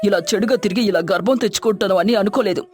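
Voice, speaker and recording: A woman's voice: mid-range voice, fast talker, darkish tone, faint music behind, close to an everyday voice. A woman voicing an angry, grieving village father in a story, bitter and distressed with shame. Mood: bitter anger.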